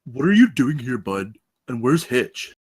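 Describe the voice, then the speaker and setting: A man's voice: mid-range voice, big pitch swings, unhurried, a little mellow, husky. A man reading the part of a cocky undercover campus security guard bro in a live table read. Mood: cocky, casual.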